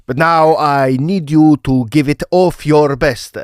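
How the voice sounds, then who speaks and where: Guy doing passionate composer, slow theatrical delivery, very dry close sound, period radio play. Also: a little high, fairly even intonation, smooth, dry recording. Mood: solemn, impassioned. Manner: theatrical, deliberate, declamatory. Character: passionate Italian opera composer, grand and earnest man. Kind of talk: period audio drama acting.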